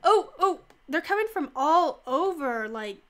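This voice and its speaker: Teen girl, high bright voice, slightly stuffy nose, chatty rambling gamer talk. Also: animated, a little slow. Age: teens. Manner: rambling, lots of "like", yelps and laughs during play. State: stuffy from a cold. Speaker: gaming YouTuber. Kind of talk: casual gameplay chat.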